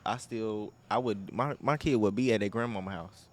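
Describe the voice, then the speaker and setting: Young man, low voice, earnest. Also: normal speed, slight background noise. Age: young adult. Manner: earnest, emphatic, informal. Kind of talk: casual chat.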